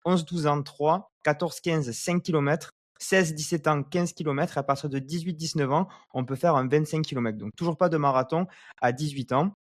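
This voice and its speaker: A man's voice: on the high side, moderate pace, no echo. Podcast host, man with dry close sound. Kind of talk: conversational podcast hosting.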